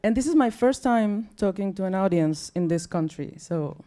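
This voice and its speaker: Young Mexican woman, Spanish accent, English second language; tired, chatty, apologetic, mellow voice. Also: medium pitch, lively intonation, moderate pace. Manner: chatty and apologetic, with many fillers and restarts. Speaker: guest speaker at a science talk night. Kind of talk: casual, self-deprecating live talk. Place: bar or event room with a live audience.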